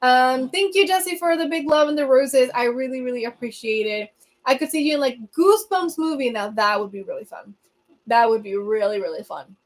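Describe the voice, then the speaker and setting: A young woman from Venezuela, a native Spanish speaker, hosting a livestream and chatting casually as she answers comments, laughing often and filling pauses with um. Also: sits high. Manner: chatty, laughs often, many ums and restarts. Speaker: livestream host.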